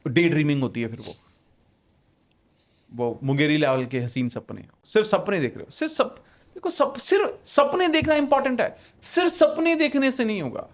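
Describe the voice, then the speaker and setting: A man's voice: sits high, lively intonation, normal speed. A man on a podcast as a guest author, explaining ideas emphatically with rhetorical questions and English phrases mixed into his talk.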